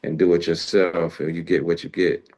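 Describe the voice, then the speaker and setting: A man's voice: low voice, little pitch movement, moderate pace, darkish tone. A man talking casually and colloquially as a music show host, rambling through his thoughts in slangy speech. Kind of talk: casual interview chat.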